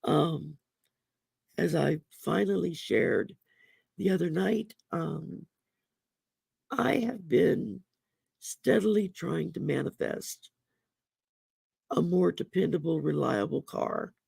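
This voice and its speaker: A woman with a gravelly voice from damaged vocal cords. She hosts a casual livestream, chatting and reading oracle cards in a folksy way with many ums. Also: low voice, animated, takes it slowly, long pauses, darkish tone, dry recording. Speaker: host and oracle card reader. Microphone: computer mic on a livestream.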